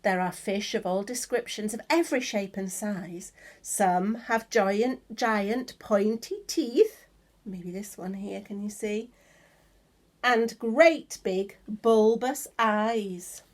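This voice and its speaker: A woman's voice: mid-range voice, takes it slowly. A woman reading a children's picture book of verse aloud, stretching words for emphasis and pointing things out to young listeners.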